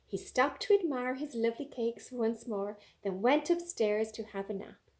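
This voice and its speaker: A woman reading a story aloud as a preschool teacher, with coaxing, animated asides and questions for young children. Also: on the high side, moderate pace.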